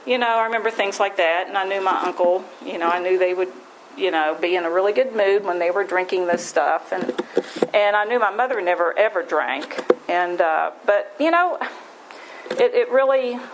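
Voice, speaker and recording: A woman's voice: mid-range voice, normal speed, a little hiss or hum, low-quality recording. Rambling West Virginian woman sharing her story. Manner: rambling, folksy, self-deprecating, frequent restarts. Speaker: speaker at a recovery meeting. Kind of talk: personal recovery share.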